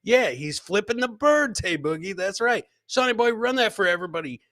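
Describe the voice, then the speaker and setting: Bright, high-voiced middle-aged man hosting. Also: expressive, fairly quick, no room sound, studio quality. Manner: chummy, slangy, repeats phrases for emphasis. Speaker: comedian and live podcast host. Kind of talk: casual livestream banter reacting to clips and viewer comments. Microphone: close podcast mic on a boom, with headset.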